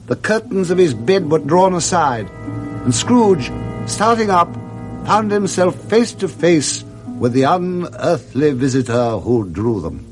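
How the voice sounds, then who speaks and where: A man narrating a classic story for 1960s radio in a measured, theatrical storytelling manner. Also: on the high side, very animated. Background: music.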